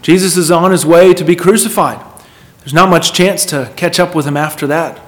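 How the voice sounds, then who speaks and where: A man's voice: on the high side, steady tone, moderate pace, a bit bright. A man preaching a sermon in an earnest, emphatic manner, repeating phrases for weight and putting questions to his listeners.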